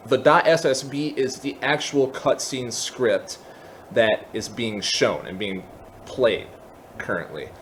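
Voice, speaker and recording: Man giving a tutorial over background noise. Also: medium pitch. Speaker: tutorial host. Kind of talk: casual software tutorial walkthrough.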